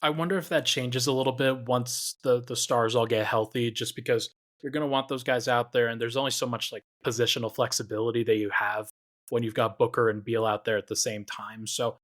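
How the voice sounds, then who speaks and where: A man hosting a basketball podcast, talking in a relaxed way and thinking aloud with lots of fillers. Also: medium pitch, a little fast. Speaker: podcast host. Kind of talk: casual basketball podcast chat.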